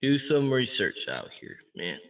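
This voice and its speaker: A man on a video-chat link speaks very slowly and offhandedly, with casual profanity. His voice comes from far off the mic and sounds dull and muffled through the call. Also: mid-range voice, very animated, fairly bright tone. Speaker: random video chat stranger.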